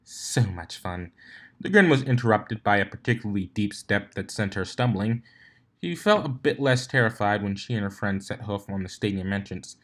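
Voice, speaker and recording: A man's voice: lowish voice, expressive, a little fast. A man reading a scripted story aloud as a fan fiction narrator.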